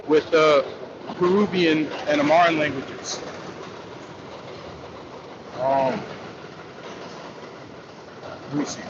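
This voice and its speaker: A man hosting a casual livestream, rambling in a loose, slangy way as he answers viewer comments, full of fillers and restarts. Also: fairly high pitch. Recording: dull lo-fi stream audio with faint noise.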